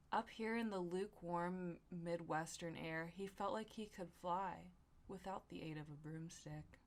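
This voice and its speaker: A young woman with a slightly low voice hosts a comedy podcast. She reads fan fiction aloud and drops into loose, slangy banter. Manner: slangy, profane, giggly asides. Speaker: podcast host.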